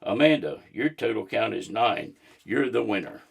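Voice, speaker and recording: A man's voice: lowish voice, very animated, moderate pace, creaky, slightly rough, heavily acted. A man voicing a jovial father in a children's storybook, speaking like he is making announcements. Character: jovial dad running an egg hunt. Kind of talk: storybook read-aloud.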